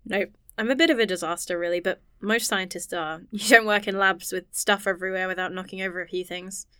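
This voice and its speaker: Actress as self-conscious woman scientist, earnest and rambling, naturalistic audio drama, medium-pitched voice. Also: steady tone, brisk, fairly bright tone, clear, resonant tone, dry recording, clean studio sound, lightly acted. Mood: dry, self-deprecating.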